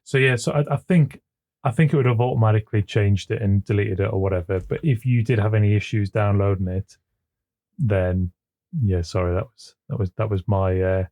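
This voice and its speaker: Smooth-voiced man, mellow and low. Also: fairly even intonation, fairly quick. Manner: chuckles often, repeats words as he starts sentences. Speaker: podcast co-host. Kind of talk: casual chat. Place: home office at his computer. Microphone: desk microphone.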